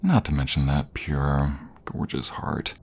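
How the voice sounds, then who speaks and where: Man acting as nerdy jock boyfriend, deep low pitch, unhurried pace, affectionate, teasing, intimate audio roleplay. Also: sing-song, exaggerated voice. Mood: tender, adoring. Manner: affectionate, teasing, breathy pauses and chuckles.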